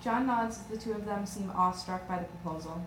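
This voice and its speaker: A woman's voice: mid-range voice, brisk. A woman reading out stage directions as scripted narration at a table read. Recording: echoey room with clear noise.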